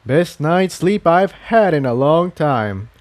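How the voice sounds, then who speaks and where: A man's voice: a little high, animated, takes it slowly, pitched above the performer's own voice. A man voicing a frank, easygoing young male pony adventurer in a storybook, with a casual, slightly dismissive manner. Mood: grateful.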